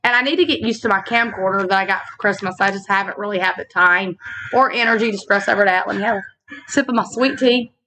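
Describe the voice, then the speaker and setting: Bright, slightly raspy woman vlogger. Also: mid-range voice, a little hiss or hum, rough recording. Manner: chatty and earnest, with fillers and slow reflective stretches. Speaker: channel host. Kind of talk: casual faith vlog chat. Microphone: laptop built-in mic.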